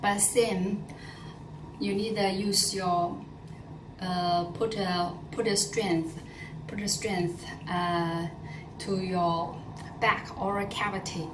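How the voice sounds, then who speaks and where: A woman's voice: medium pitch, fairly even intonation, slow pace, long pauses, distant mic. Chinese lady teaching pronunciation, lots of uh and repeats, noisy roomy sound. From China. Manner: explanatory, with many fillers and repeated phrases. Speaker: language teacher. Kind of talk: casual pronunciation lesson. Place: in Dubai.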